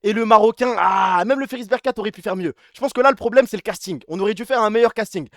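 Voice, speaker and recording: A man's voice: high-pitched, fast talker, vocal fry, no echo, studio quality. A man hosting a live stream in casual chat, giggly and exclamatory, talking in slang. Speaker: streamer hosting a role-play.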